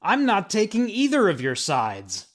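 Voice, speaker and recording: Prickly, pompous executor. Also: male voice, high voice, wildly swooping pitch, measured pace, exaggerated voice. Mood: indignant. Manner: indignant, scornful, pompous. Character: exasperated, prickly, pompous male estate executor. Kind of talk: acted audio drama dialogue.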